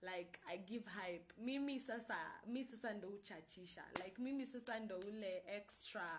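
A woman's voice: mid-range voice, normal speed, noisy background. Kenyan woman, twenties, solo podcast host; bubbly hype-girl energy, code-switching chat. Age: 20s. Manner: bubbly, hyped, mixes Swahili slang into English. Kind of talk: casual solo podcast intro.

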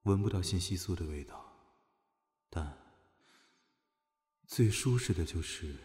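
A man's voice: deep voice, lively intonation, a little slow, darkish tone, slightly rough, exaggerated voice. A man acting a teasing, clingy young male officer in an audio drama, coaxing his comrade playfully.

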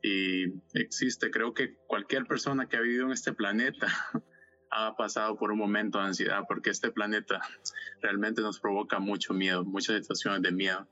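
A man's voice: on the low side, steady tone, normal speed, sharp tone, dull audio. A man co-hosting a faith community podcast, talking casually and explaining ideas with rhetorical questions. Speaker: podcast co-host.

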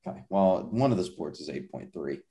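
A man's voice: sits low, lively intonation, a little fast, mellow. A young man co-hosting a sports podcast, chatting casually with dry, wry remarks. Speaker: podcast co-host.

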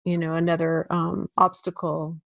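Soft-voiced woman podcast host, dry sound. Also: fairly low pitch, level tone, moderate pace, vocal fry, no room sound. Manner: gentle, probing questions. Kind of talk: conversational interview.

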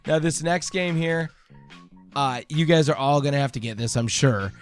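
A man's voice: fairly high pitch, normal speed, clear, resonant tone. A man hosting a podcast quiz in a casual, chatty, teasing way, running the game as he banters. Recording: video game music playing under voice. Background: video game music.

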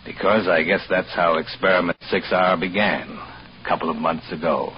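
Man acting a deep-voiced, bitter hotel man in old radio. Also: fairly even intonation, noisy background, natural voice. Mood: wry, ironic. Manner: sardonic, measured, deliberate. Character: bitter, resentful male hotel assistant manager confessing his story. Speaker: narrator and lead actor. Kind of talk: 1940s radio drama narration. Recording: dull old radio recording, loud music.

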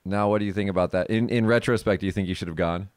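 A young man hosting a casual podcast, chatty and quick to laugh, asking friendly questions. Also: deep voice, fairly even intonation, clean tone. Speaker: podcast host.

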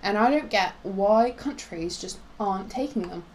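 A woman's voice: mid-range voice, lively intonation, moderate pace, low-quality recording. A young woman from Poland in a casual, opinionated discussion of current affairs, talking informally and rambling a little. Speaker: co-host. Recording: noticeable background noise.

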